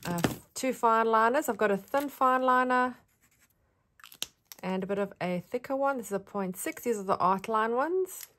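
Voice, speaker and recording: A woman's voice: on the high side, expressive, normal speed, a bit bright, slight background noise. A woman with a South African accent, chatting casually as she shows her craft supplies in a vlog. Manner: chatty, friendly, rambling with fillers. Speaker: craft vlogger. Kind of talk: casual show-and-tell.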